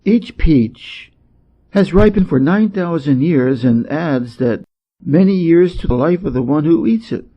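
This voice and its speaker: A man's voice: a little high, expressive, measured pace. A man playing a knowing, frank stable assistant in a storybook reading, explaining plainly, on a slightly muffled recording. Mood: wistful. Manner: matter-of-fact, explanatory. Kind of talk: storybook character voice.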